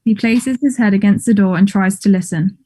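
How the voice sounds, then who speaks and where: Female narrator, mellow, medium-pitched, reads scripted stage directions. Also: a little fast, husky.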